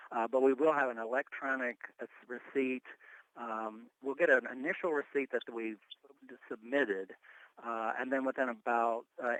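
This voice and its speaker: Clear-voiced man explaining things over a conference call. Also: mid-range voice, a bit bright, dry recording, rough recording. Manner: explanatory, step-by-step, conversational. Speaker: board member reporting on tax filing. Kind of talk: informal spoken report at a meeting. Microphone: telephone. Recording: telephone conference call audio.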